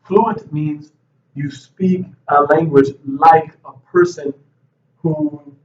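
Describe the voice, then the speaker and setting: A man teaching English grammar at a whiteboard, explaining slowly and patiently with frequent checks of "okay". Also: on the high side, steady tone, a little mellow, a little hiss or hum. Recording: roomy, distant, dull classroom audio.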